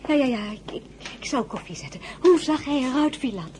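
A woman's voice: high-pitched, animated, a little fast, mellow, slight background noise. An actress playing an anxious, tender royal lady, a general's wife, in a Dutch audio drama. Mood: anxious, questioning.

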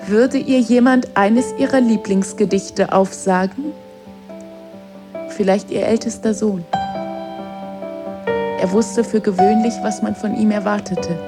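A woman's voice: mid-range voice, steady tone, measured pace, long pauses, close to an everyday voice. A woman playing a lonely, pensive schoolgirl who tells herself stories in a radio play. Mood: dreamy, storytelling. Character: lonely, wistful schoolgirl telling herself fairy tales. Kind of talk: storytelling inner monologue in radio play. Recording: loud piano music behind.

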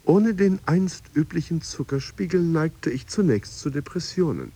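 An actor playing a stressed male office employee in coaching, with a husky, creaky voice, in a radio drama. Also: fairly high pitch, animated, normal speed, warm tone, a little hiss or hum. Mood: confessional. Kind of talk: radio drama monologue and chanted affirmations.

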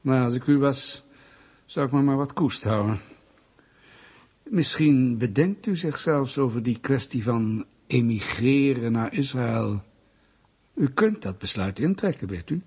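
A bureaucratic bully of the Soviet state in a radio play. His voice is very husky and breathy, mid-pitched, and he talks with mock politeness, patronizing and menacing. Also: darkish tone. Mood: smug, veiled threat. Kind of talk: radio drama acting.

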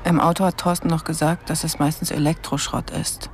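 A woman playing an inexperienced, earnest young police officer, confiding in a husky voice in a radio play. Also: low voice, flat delivery, fairly quick, slight background noise, natural voice.

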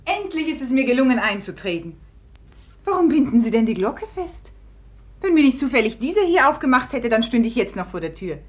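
High, swooping, capricious beauty. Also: female voice, very animated, brisk. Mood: mocking. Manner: teasing, imperious, theatrical. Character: proud, capricious, mocking society beauty. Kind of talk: 1950s German radio drama acting.

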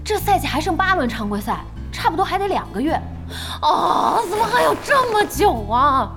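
An actress playing an impulsive, bossy young woman in charge in an audio drama, anxious and eager, with a blunt, expressive delivery. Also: very high voice, moderate pace, faint music behind, full character voice. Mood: impatient. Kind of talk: Chinese audio drama acting.

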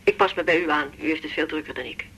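An actress playing an ashamed, timid woman patient, apologizing in a hushed, halting way over a telephone in a radio drama. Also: deep voice, slightly rough, poor audio.

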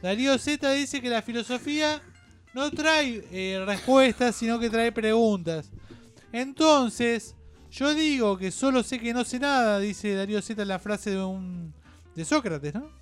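A man with an Argentine accent and a high, clean voice co-hosts a radio show. He rambles through his opinions, stumbling, doubling back and repeating himself. Music plays behind him. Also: expressive, clean tone. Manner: halting, digressive, repeats himself.

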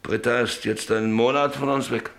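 Man acting a gravelly, bitter bedridden father. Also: mid-range voice, steady tone, a bit bright, close to an everyday voice. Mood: anxious, despairing. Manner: brooding, halting, repeats phrases. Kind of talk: German radio drama acting. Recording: hissy old radio drama recording.

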